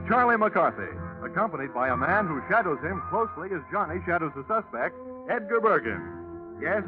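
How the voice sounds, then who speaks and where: An American man announcing in a polished, promotional 1940s radio style, reading scripted credits and plugs. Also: sits high. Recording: tinny 1940s radio broadcast, music behind.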